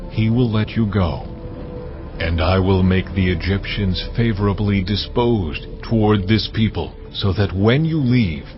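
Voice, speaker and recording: An actor playing God as a solemn, commanding divine voice, proclaiming slowly and with weight. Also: male voice, lowish voice. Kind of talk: dramatized scripture reading. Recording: muffled, over loud cinematic music.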